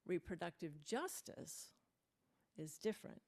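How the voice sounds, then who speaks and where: Woman giving a measured service reflection. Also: lowish voice, very animated, vocal fry, darkish tone. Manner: measured, deliberate delivery. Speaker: worship leader.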